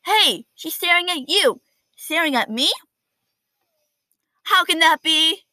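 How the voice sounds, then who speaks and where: A woman voicing excitable, disbelieving schoolchildren in a picture book, in exclamations and incredulous questions. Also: extremely high pitch, slow pace, dry recording, voice pushed up, exaggerated voice. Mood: alarmed. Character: excitable, astonished schoolchildren watching their teacher in disbelief. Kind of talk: children's picture book read aloud.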